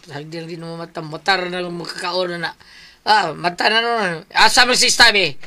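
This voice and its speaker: A man's voice: high voice, fairly even intonation, moderate pace, bright, forceful tone, exaggerated voice. A man playing a tipsy, teasing drinking buddy in a comedy skit, with a Cebuano Filipino accent and a loose, repetitive, exclamatory way of talking. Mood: bantering. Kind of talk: casual comedic skit banter.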